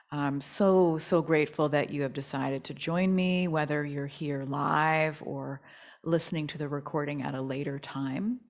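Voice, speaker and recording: Low, slow-paced woman guiding relaxation. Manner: soothing, gentle, unhurried. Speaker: yoga nidra teacher. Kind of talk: guided relaxation meditation.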